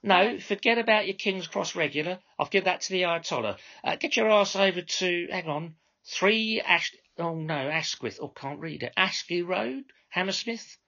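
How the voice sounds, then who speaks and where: Female minicab controller, male reader, high and husky, bossy, mock-polite, sweary, story dialogue. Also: normal speed, hardly any pauses, bright, forceful tone, highs cut off, exaggerated voice. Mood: bossy, exasperated. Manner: insincerely courteous, bossy, sweary.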